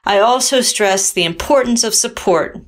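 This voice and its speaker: A woman's voice: on the high side, normal speed. A woman artist presenting her own work in scripted, explanatory narration.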